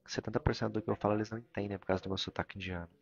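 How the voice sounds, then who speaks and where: Brazilian guy translating a religious talk live, halting, gravelly low voice, kinda muffled recording. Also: flat delivery. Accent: Brazilian Portuguese. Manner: halting, searching for words and repeating phrases. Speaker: interpreter of a spiritual discourse.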